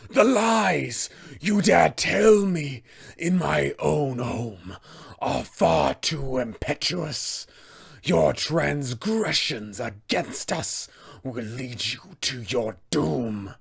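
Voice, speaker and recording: Slow, raspy mad dwarf king. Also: a little high, expressive, heavily acted. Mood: outraged. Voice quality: raspy, gravelly. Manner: slow, grandiose, menacing, theatrical. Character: insane, imperious old male dwarf tyrant king. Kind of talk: villain monologue for a tabletop game.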